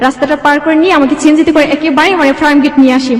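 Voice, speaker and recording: A woman's voice: sits high, fairly even intonation, rapid-fire. A young Bangladeshi woman telling her own life story as an interview guest. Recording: echoey room with long reverb.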